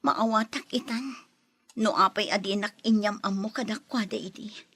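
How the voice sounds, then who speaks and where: A woman's voice: mid-range voice, fairly even intonation, normal speed, a bit bright, slightly rough, no echo. A woman playing a hurt, sincere young girlfriend in a radio drama, plaintive and earnest, with a Filipino accent. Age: young adult. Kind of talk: Ilocano radio drama acting.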